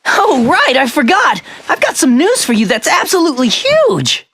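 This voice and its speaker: A woman's voice: sits high, wildly swooping pitch, moderate pace, fairly bright tone, full character voice. A woman voicing an earnest, protective, boyish teenage big brother with some hesitancy in a video game. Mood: excited. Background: faint music.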